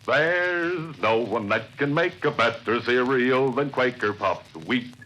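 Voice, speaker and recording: A man playing a folksy, jaunty pitchman in a drawn-out 1950s-style radio cereal advertisement. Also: sharp tone, muffled recording, soft background music.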